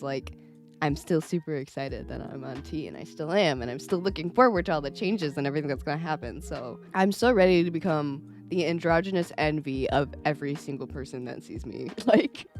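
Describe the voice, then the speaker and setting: A young man talking casually to camera at home, rambling and candid, swearing often and laughing between thoughts. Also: fairly high pitch. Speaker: vlogger. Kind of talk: casual vlog chat.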